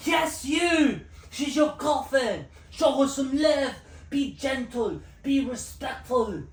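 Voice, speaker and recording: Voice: high-pitched, expressive, slow pace, full character voice. An actor in a comic skit playing a bossy, melodramatic film director with a put-on French accent, scolding and commanding. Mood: exasperated, scolding. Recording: roomy, echoing, distant mic.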